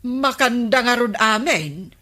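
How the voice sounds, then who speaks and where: A woman's voice: fairly high pitch, lively intonation, slow pace, bright, forceful tone, exaggerated voice. A woman playing an inquisitive villager in a radio comedy drama. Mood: questioning.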